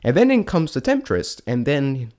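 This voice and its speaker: A man talks through his thoughts on a show in a loose, unscripted way. His voice sits at an average male pitch. He hesitates and doubles back on sentences, delivering frank opinions in informal language. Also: very animated, a little mellow. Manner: halting, rambling, often trailing off and restarting. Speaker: vlogger reviewing a stage show. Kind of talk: casual rambling spoken review.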